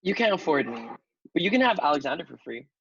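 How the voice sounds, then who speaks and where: A man's voice: fairly high pitch, very animated, fast talker, creaky, fairly bright tone, breathy edge, no room sound, full character voice. A man playing a playful, flamboyant young party-goer in a comedy table read. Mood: cheeky. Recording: muffled video call audio.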